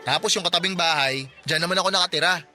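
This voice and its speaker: A man's voice: a little high, fast, bright, forceful tone, faint music behind. An actor in his twenties playing a friendly, chatty working-class neighbor who jokes and offers help, in a radio drama. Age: 20s. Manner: chatty, joking, helpful. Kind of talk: Tagalog radio drama acting.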